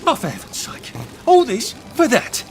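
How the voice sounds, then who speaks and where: A man's voice: very high voice, huge pitch swings, measured pace, a little hiss or hum, exaggerated voice. An actor playing a mild, awkward English man in a game cutscene, hesitant, fussy and dryly sarcastic. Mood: exasperated. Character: mild, awkward, exasperated everyman trying to escape. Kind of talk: video game cutscene acting.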